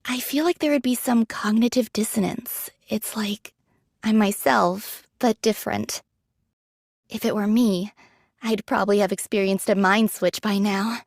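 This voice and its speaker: A pragmatic young android soldier from an anime game. Her medium-pitched voice is casual and matter-of-fact, modest and quietly caring. The recording is very dry and close. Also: fairly even intonation, a little slow, dry recording. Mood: thoughtful.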